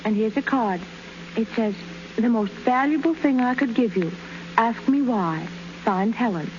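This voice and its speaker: A woman's voice: on the high side, unhurried, a little mellow, slight background noise, close to an everyday voice. A woman playing a gracious, delighted young bride-to-be in a scripted 1930s radio advertisement. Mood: gracious, curious. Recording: muffled 1930s radio recording.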